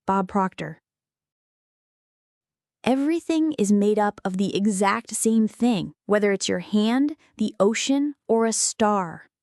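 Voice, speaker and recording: A woman narrates an audiobook at a medium pitch, with each phrase measured and clearly enunciated. The delivery is explanatory and scripted, and the sound is very dry, with no room around it. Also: lively intonation, a little mellow, no echo.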